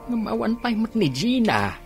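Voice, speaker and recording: A man's voice: sits high, big pitch swings, normal speed, over music. A man acting an easygoing old village husband and farmer in a radio drama. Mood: grumbling.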